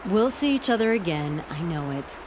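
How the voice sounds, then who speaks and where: An actress playing a brash, flirtatious, worldly weapons smith in a video game, with a folksy, confident manner. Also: female voice, on the low side, sing-song, moderate pace. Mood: teasing, confident. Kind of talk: video game cinematic dialogue. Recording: clear ambient noise behind the voice.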